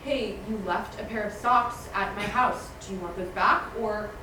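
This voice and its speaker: A woman's voice: medium pitch, normal speed, lightly acted. A woman playing a jumpy, suspicious young student in a screenplay table read. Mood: distracted. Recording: distant, echoey room with background noise.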